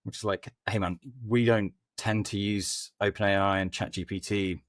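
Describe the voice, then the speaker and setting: Man, podcast guest, deep low voice, very dry close sound, informal explanatory delivery. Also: steady tone, moderate pace, no room sound. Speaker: podcast guest, company head of growth. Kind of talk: conversational interview answers.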